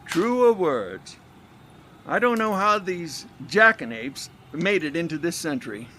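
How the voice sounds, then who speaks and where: Brief: old-fashioned, snide newspaperman, highish animated voice, pompous radio-drama delivery. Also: a little slow, slight background noise, full character voice. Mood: smug. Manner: pompous, sardonic.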